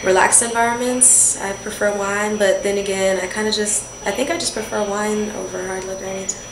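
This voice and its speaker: Young female student, medium pitch, tentative casual interview talk, roomy and noisy. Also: fairly even intonation, a little fast. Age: early 20s. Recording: echoey, noisy room.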